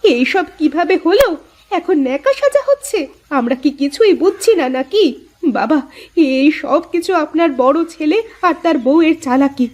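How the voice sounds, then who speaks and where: A woman's voice: high-pitched, lively intonation, normal speed, darkish tone. A woman voicing a scornful, boastful young village sister-in-law in a cartoon, taunting and accusing. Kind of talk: cartoon voice acting.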